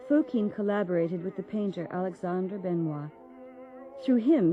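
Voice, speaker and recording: A woman giving measured, formal scripted narration for a documentary. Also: medium pitch, warm tone. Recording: dull lo-fi sound with faint music.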